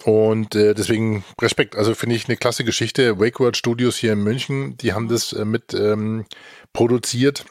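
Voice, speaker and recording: A man's voice: lowish voice, little pitch movement, normal speed, no echo. Male podcast host in a dry studio. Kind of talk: casual panel chat.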